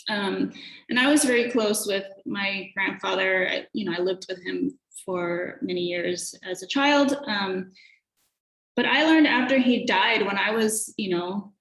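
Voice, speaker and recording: Woman in her thirties, academic presenter, mid-pitched, thoughtful and halting, roomy echo. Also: fairly bright tone. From Hawaii. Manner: thoughtful, many fillers. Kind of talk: conference presentation with slides. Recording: echoey room.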